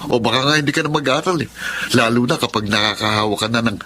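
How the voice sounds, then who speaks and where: A man's voice: medium pitch, fast talker, bright, forceful tone, slight background noise, natural voice. A man acting a cocky young hustler who taunts and coaxes in a Filipino radio drama. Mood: coaxing. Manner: taunting, coaxing.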